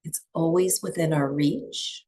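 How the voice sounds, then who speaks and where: A woman teaching breathwork and meditation to a small group, speaking softly and soothingly with long pauses between phrases. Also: low voice, steady tone. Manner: soft, unhurried, soothing delivery with long pauses.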